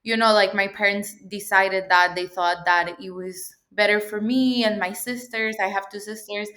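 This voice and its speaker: A woman's voice: mid-range voice, fairly even intonation, moderate pace, bright, forceful tone. Chatty young woman from El Salvador. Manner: chatty, many fillers, laughs often. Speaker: guest, PhD student. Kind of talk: casual interview chat.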